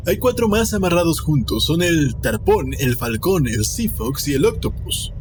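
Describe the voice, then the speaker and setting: A man reading a scripted story in a dramatic narration style, with music behind him. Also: on the high side. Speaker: audiobook narrator. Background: background music.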